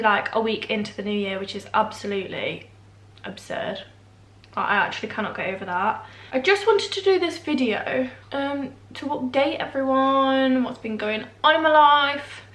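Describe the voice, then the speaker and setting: A woman's voice: on the high side, normal speed, fairly bright tone. Chatty young woman, slightly nasal. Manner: rambling, self-deprecating, lots of fillers. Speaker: beauty vlogger. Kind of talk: casual chatty vlog. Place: room at home, indoors. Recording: echoey room.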